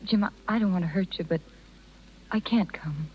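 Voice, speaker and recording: A woman's voice: on the low side, moderate pace, husky. A woman playing a tender, self-sacrificing young circus rider with a hesitant, pleading delivery in a 1930s radio drama. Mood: anguished, wistful. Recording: muffled 1930s radio broadcast.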